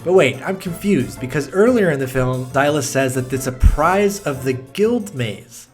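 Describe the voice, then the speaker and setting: A man's voice: mid-range voice, lively intonation, a little slow, faint music behind. A young man narrating a sarcastic film review, full of mocking asides and swearing. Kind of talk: sarcastic movie review commentary.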